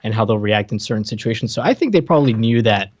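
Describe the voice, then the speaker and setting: A man's voice: on the low side, expressive, fast talker, a little mellow, lo-fi sound. A man chatting casually as a podcast co-host, blunt and teasing in his delivery. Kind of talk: casual chat, TV show recap.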